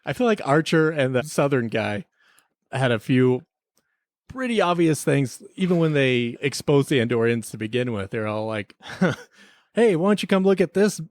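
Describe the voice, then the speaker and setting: A man's voice: medium pitch, expressive, moderate pace, no room sound, clean studio sound. A man hosting a podcast, casually reviewing a TV episode with joking, mock-serious remarks. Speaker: podcast host.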